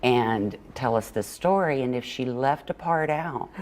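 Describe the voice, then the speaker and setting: Very low-voiced older woman. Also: expressive, unhurried, darkish tone. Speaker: interview guest, children's book author and illustrator. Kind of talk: conversational interview answers. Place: TV morning show interview.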